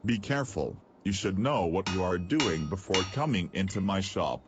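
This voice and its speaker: An actor playing a busy, brusque farrier in a children's audio story. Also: male voice, low-pitched, animated, moderate pace, background noise.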